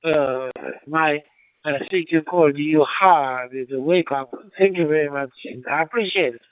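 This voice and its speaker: A man with a Japanese accent speaking halting, polite English as an amateur radio operator over a digital two-way radio, often repeating himself. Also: fairly high pitch, dry recording. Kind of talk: formal radio contact exchange. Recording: tinny narrowband digital radio transmission.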